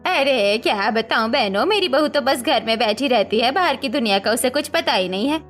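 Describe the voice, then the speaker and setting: A woman's voice: on the high side, expressive, normal speed, fairly bright tone. A woman playing a scornful, nagging older mother-in-law in a cartoon story. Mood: scornful complaining. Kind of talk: Hindi cartoon story dialogue.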